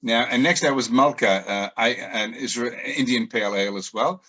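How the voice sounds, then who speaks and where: A man's voice: mid-range voice, moderate pace, sharp tone. A middle-aged man guiding a virtual tour, telling chatty stories with frequent ums as he works through his slides. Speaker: tour guide.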